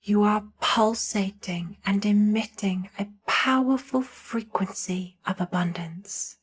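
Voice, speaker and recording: Slow-paced woman guiding a meditation. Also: medium pitch, breathy edge. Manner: soothing, hushed, with long pauses between short phrases. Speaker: guided meditation narrator. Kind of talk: slow guided meditation narration.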